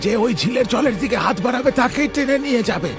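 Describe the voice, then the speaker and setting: A man's voice: extremely high pitch, expressive, a little fast, music in the background. A man voicing an ominous stranger in a fairy-tale cartoon, giving emphatic, foreboding warnings.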